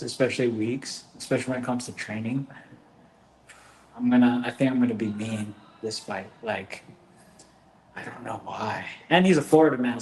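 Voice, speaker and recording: Guy fighter rambling and joking in a casual chat, medium pitch, roomy echo. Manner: joking, rambling, trails off quietly. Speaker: fighter, guest. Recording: echoey room, faint background noise.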